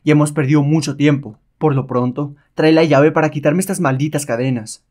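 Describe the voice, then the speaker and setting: A man's voice: fairly high pitch, steady tone, brisk, a little mellow, natural voice. A man as a cocky, mocking rebel adventurer. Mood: gloating, threatening. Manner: mocking, self-assured. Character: sly, cocky, rebellious enslaved male pirate adventurer. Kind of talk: dramatized comic-book reading.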